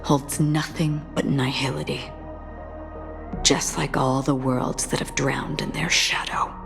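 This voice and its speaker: A stoic, enigmatic wanderer in a game cutscene. Her voice sits low and stays deadpan and unhurried, with quiet menace over a clear music bed. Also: in a whisper. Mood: grave. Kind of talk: dramatic game cutscene acting.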